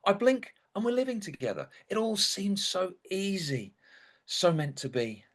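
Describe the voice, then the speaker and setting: A man's voice: high-pitched, very animated, measured pace, heavily acted. An actor playing an indignant, sardonic dead young man who narrates a comic stage monologue in a wry, theatrical way. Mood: wistful.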